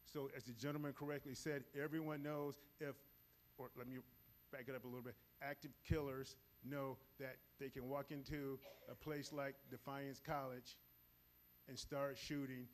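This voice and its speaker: A middle-aged man with a loud voice, giving a live safety training talk on a handheld mic in a large auditorium, moving around as he speaks. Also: mid-range voice, moderate pace. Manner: energetic, moves around the stage while talking. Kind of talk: live presentation to an audience. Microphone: handheld microphone.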